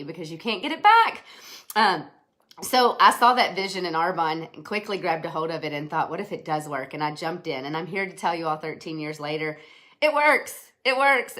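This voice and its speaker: A woman in her thirties with a slight head cold, giving an earnest, chatty business pitch on a live stream, with many fillers and restarts. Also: fairly bright tone. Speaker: network marketing presenter.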